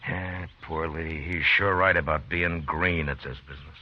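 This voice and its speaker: A man playing a steady, shrewd frontier marshal in old-time radio western style, measured and plain-spoken. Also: a bass voice. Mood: grave, explaining. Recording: muffled, hissy old radio recording.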